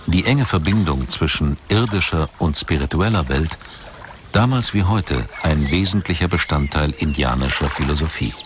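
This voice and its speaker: A man reading scripted documentary narration in a measured, articulate way. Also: rumbling low, animated, noisy background.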